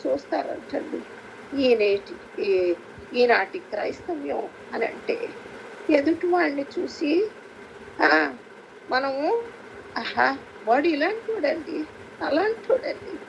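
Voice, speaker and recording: A woman with an Andhra Telugu accent preaching a sermon at a prayer meeting, speaking haltingly with frequent interjections. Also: high voice, lively intonation, creaky. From Andhra Pradesh, India. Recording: faintly noisy conference call recording.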